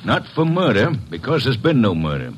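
Husky, stern radio police inspector. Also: male voice, mid-range voice, big pitch swings, a little fast. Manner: formal, firm. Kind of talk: 1950s radio drama acting.

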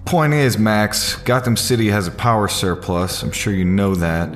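A man's voice: lowish voice, expressive, normal speed, faint music behind, lightly acted. A man playing a composed, firm millionaire businessman in an audio drama, understated and matter-of-fact. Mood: firm, challenging.

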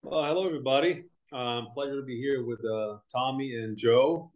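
A man from Brazil, a podcast guest, talking in a halting, filler-heavy way with many restarts. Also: mid-range voice, dull audio. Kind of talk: casual podcast conversation.

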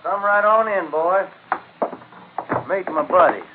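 An actor playing a smug, folksy villain with a rural American accent, mock-genial and menacing, in an old radio drama. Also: male voice, sits high, takes it slowly. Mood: smug menace. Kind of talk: 1940s-50s radio villain acting. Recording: old tinny noisy radio recording.

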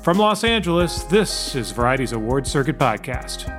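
A man's voice: medium pitch, moderate pace, music in the background. A man hosting a podcast, chatting loosely with a guest and reading scripted segment intros. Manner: lively, mixes loose chat with read-out intros. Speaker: podcast host.

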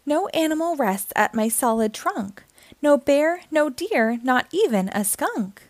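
Woman as lonely storybook tree, wistful, eager, high-pitched, lively intonation. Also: a little slow, no echo. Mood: wistful, bored. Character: lonely, bored, adventurous male tree longing to fly. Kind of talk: children's storybook read-aloud.

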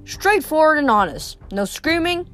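A woman's voice: high voice, big pitch swings, moderate pace, a bit bright, pitched above the performer's own voice. A woman reading an audiobook voices a dry, greedy old male ferryman of the dead, with faint music behind. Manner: dry, sardonic, haggling.

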